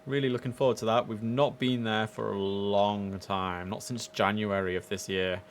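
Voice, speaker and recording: A man's voice: on the low side, fairly even intonation, moderate pace. A British man giving casual, chatty commentary as host of a game livestream, speaking informally and directly to his viewers. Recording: clear background noise and ambience.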